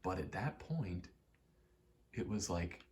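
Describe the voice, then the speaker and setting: A young man giving a soft-spoken, halting, reflective ASMR ramble, telling personal stories in a hushed voice close to the mic. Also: low voice, animated, slight background noise. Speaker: ASMR creator.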